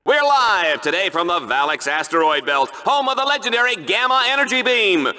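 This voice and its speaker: A man's voice: high-pitched, very animated, moderate pace, sharp tone, heavily acted. A man playing a smarmy, gleeful announcer of a deadly combat sport in a video game, with showy, mock-dramatic delivery. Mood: dramatic hype. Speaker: sports show host.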